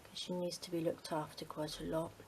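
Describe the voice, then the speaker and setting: A middle-aged Englishwoman talks casually and rambles, her lowish voice full of ums. She often sinks to a soft, near-whispered murmur while she crafts. A faint hiss sits under the recording. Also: level tone, fairly quick. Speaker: craft channel host.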